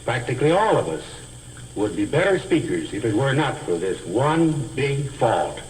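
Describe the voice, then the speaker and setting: A man giving a scripted instructional lecture as a speech teacher, in a deliberate, carefully enunciated, didactic manner. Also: medium pitch, very animated, darkish tone, rough recording. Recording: hissy old educational film soundtrack.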